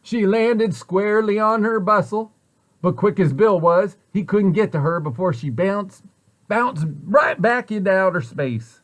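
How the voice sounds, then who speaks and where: A man reading a children's picture book aloud with expressive, deliberate storyteller phrasing. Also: sits high.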